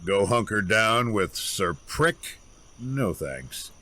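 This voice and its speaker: An actor playing a gruff, self-reliant woodsman with a folksy, sardonic, grudging way of talking in a fantasy audio drama. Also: lowish voice, sing-song, slow, bright, forceful tone, exaggerated voice.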